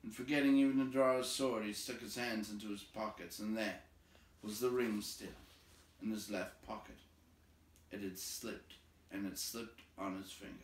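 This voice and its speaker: A man reading a story aloud in an expressive, deliberate, dramatic narration. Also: on the low side. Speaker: storybook reader. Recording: roomy, distant, faint background noise.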